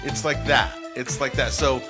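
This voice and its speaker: A man's voice: mid-range voice, fairly even intonation, normal speed, vocal fry, music in the background. A man co-hosting a casual comedic talk show, telling stories in a rambling way and acting out bits of dialogue. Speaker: podcast co-host.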